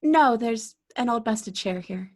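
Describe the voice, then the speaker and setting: A woman's voice: a little high, lively intonation, measured pace, darkish tone. An actress playing a nervous, easily frightened female accomplice in an old-time radio drama, anxious and melodramatic. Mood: uneasy.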